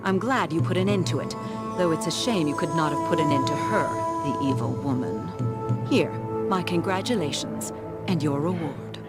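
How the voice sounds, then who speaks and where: An actress playing an earnest, righteous townswoman who gives quests in a fantasy video game, speaking formally and gravely. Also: low voice, moderate pace, close to an everyday voice. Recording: loud music under game dialogue.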